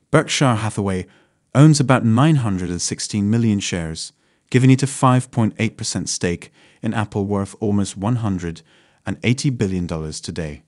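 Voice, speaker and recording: A man reads a finance script in a steady, even voice that lifts a little at the start of each new point. His pitch sits on the low side. The recording is close and dry, with no room sound. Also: dry recording. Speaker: narrator of a finance channel. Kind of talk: scripted narration.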